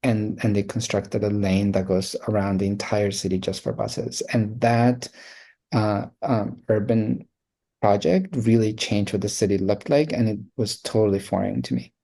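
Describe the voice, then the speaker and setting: A man's voice: lowish voice, fairly even intonation, moderate pace, creaky, warm tone. A man in his thirties from Colombia with a Colombian accent, a guest talking reflectively in a casual interview, with many fillers and restarts. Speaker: guest artist.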